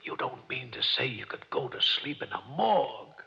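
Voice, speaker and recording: A man's voice: mid-range voice, big pitch swings, normal speed, creaky, pushed and bright, full character voice. An actor playing a haughty, mock-polite male suspect in a 1940s radio mystery. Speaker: client, suspect. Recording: muffled 1940s radio broadcast.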